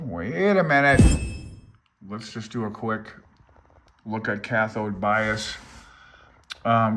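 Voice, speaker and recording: A man's voice: lowish voice, pushed and bright. Older man, casual and rambling. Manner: rambling, thinking aloud, openly unsure, self-deprecating. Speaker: amateur radio hobbyist presenting a handbook. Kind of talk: casual, unscripted read-along explanation.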